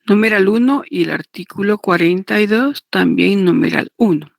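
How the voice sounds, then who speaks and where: A woman giving a didactic lecture, partly read aloud, in a deliberate, repetitive manner. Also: medium pitch, gravelly, no room sound, clean studio sound. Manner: deliberate, repetitive, checks understanding with tag questions. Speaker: law lecturer teaching notarial law.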